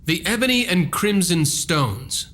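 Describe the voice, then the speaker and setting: A man's voice: sits high, big pitch swings, unhurried, fairly bright tone, exaggerated voice. A man playing an earnest young vampire-hunting hero in a video game cutscene, with a dramatic delivery. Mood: questioning.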